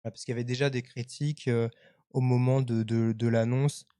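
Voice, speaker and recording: A man's voice: mid-range voice, little pitch movement, warm tone, no room sound. Hesitant man in his thirties, dry close sound. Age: 30s. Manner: hesitant, halting, conversational. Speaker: live stream host. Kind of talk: live commentary on web culture, casual press review.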